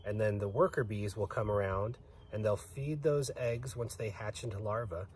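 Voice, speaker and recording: A man's voice: lowish voice, moderate pace, darkish tone, slight background noise. A man giving an informal educational explanation for children as a guest, going through things plainly and step by step.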